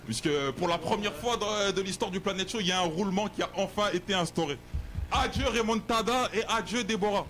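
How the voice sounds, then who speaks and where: A young man hosting a casual studio chat show, joking and teasing with a halting delivery. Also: high-pitched, fairly bright tone. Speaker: host.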